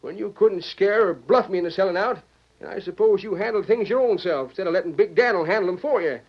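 A fiery old American frontier businessman in a radio Western. His voice sits very high for a man, and he blusters stubbornly in folksy frontier speech. The sound is dull, lo-fi vintage radio. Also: quick pace, slightly rough. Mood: furious, defiant. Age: older. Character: fiery, stubborn old frontier stage line owner, a father.

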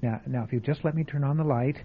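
A man's voice: medium pitch, quick pace, slight background noise, close to an everyday voice. An actor playing a frightened male motel guest in an old-time radio drama, stammering and panicky. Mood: scared. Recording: tinny lo-fi radio broadcast.

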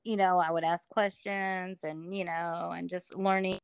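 A woman's voice: on the low side, flat delivery, measured pace, clean tone, dry recording. A middle-aged woman from Alabama, telling her story as a guest in a casual interview, chatty with many fillers.

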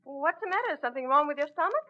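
Innocent, curious young lady foil for vintage radio comedy, high, animated, lo-fi recording. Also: quick pace. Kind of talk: 1940s radio comedy acting. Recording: dull, noisy old radio recording.

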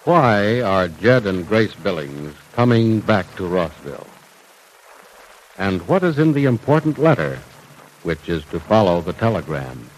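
A man announcing a 1940s radio serial and reading its sponsor's commercial in a smooth, emphatic salesman style. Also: deep voice, big pitch swings, measured pace, slight background noise, low-quality recording.

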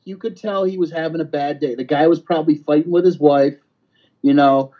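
A man's voice: on the high side, a little fast. A man chatting casually on a podcast in blunt, profane, slangy talk, often repeating himself. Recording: dull, lo-fi podcast audio.

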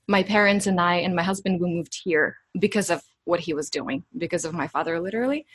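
A woman's voice: mid-range voice, no room sound. Ukrainian woman, chatty podcast guest. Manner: friendly, chatty, laughs easily. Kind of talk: casual interview chat.

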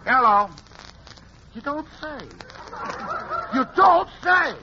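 A man's voice: very high voice, animated, slow pace. A man singing a novelty song with a comedy band in a 1940s radio show, crooning in overblown mock-sentimental style. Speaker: comic singer with a novelty band. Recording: noisy, dull 1940s radio broadcast.

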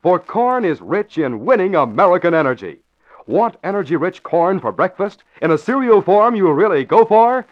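A man's voice: high voice, very animated, moderate pace. A man announcing and narrating from a script in an emphatic, polished 1940s radio style. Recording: dull lo-fi old radio recording.

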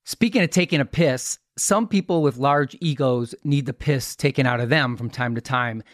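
A man hosting a podcast, telling an anecdote and setting up questions in a measured, articulate, conversational way. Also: mid-range voice, dry recording, clean studio sound. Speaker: podcast host.

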